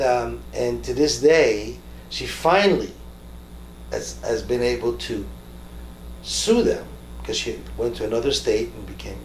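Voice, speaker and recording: A man hosting an interview in a conversational way, haltingly, repeating words as he searches for his phrases. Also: mid-range voice. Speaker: talk show host interviewing a guest. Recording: roomy echo with faint background noise.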